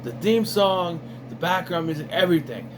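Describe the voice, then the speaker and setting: A man in casual commentary, reviewing an animated series in a rambling, sarcastic way with lots of fillers. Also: high voice, normal speed, noisy background. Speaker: video reviewer.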